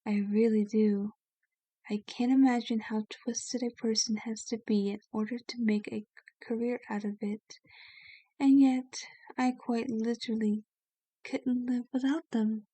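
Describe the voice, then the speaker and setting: A woman playing a kind, genteel vampire in an ASMR roleplay, speaking gently and soothingly with formal wording and a soft, sometimes breathy voice. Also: a little high, steady tone, a little slow, no room sound.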